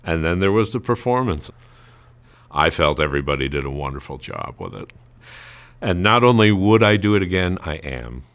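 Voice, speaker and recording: A man with a notably low voice talks casually in an interview. He tells his story as a string of anecdotes, voicing bits of remembered dialogue along the way. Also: big pitch swings, moderate pace, fairly bright tone. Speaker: amateur playwright being interviewed.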